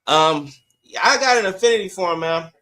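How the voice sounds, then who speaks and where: Male sports podcast panelist, high register, conversational and insistent, repeats phrases for emphasis. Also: lively intonation, a little fast, bright, forceful tone. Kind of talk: casual sports debate.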